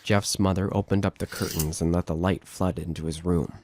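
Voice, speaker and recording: A man's voice: low voice, steady tone, normal speed, a little mellow, a little hiss or hum. A man reading scripted narration for a horror audio drama.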